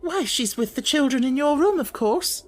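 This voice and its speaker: A man's voice: very high-pitched, animated, moderate pace, voice pushed up. A man voicing a timid, flustered woman, nervous and fretful, as the anxious sister in an audiobook story. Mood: alarmed.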